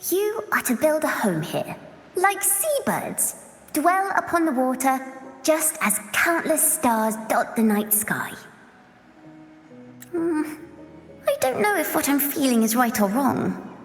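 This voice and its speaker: Wistful, high-voiced young poetry reader. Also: female voice, big pitch swings, full character voice. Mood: solemn, lyrical, then puzzled, wistful. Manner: lyrical, deliberate. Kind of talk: video game voice acting. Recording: long reverb, music behind.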